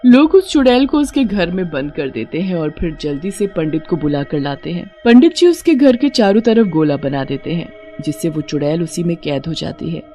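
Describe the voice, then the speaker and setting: A woman's voice: fairly low pitch, very animated, normal speed, few pauses, dark tone, soft background music. A woman narrator reading a scripted horror story for a cartoon.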